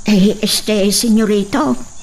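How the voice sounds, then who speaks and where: A woman's voice: medium pitch, steady tone, slow, darkish tone, far from the mic. An actor playing a timid, deferential young servant girl in a radio drama. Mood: pleading. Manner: hesitant, deferential.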